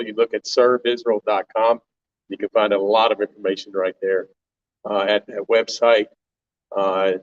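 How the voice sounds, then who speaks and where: An older man from Tennessee, co-hosting a live talk and speaking haltingly with frequent fillers. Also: fairly low pitch. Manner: halting, with many fillers and restarts. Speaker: co-host, volunteer organization leader. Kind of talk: live panel talk to an audience. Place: stage before an audience. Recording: distant, dull live-event sound.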